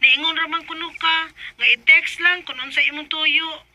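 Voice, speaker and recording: Outspoken young female friend, Bisaya accent, high pitch, piercingly bright tone, radio drama dialogue. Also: fairly even intonation, normal speed, dull audio. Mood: reproachful, explaining. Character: frank young female friend defending her wronged cousin.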